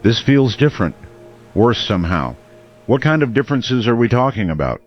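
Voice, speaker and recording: A man's voice: mid-range voice, sing-song, normal speed, dull audio, soft background music, close to an everyday voice. A man playing a grave, probing late-night radio talk host. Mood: probing, uneasy.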